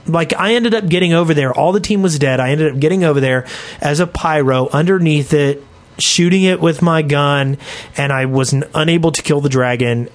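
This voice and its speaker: A man co-hosting a casual gaming podcast, talking emphatically, arguing his point and repeating himself for stress. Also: fairly high pitch. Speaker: podcast co-host.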